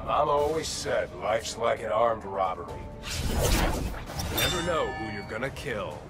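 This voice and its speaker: An actor playing a cocky, sardonic masked mercenary in a video game, sneering and wisecracking. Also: male voice, on the low side, animated, measured pace, over music. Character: cocky, sardonic male masked mercenary speedster, selfish thug. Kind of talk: video game fight dialogue.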